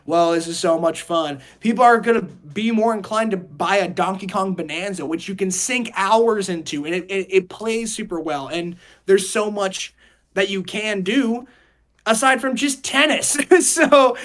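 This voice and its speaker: A man's voice: sits high, moderate pace, a bit bright. A young man talking casually and emphatically on a podcast, rambling through his opinions in slangy speech. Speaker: podcast host.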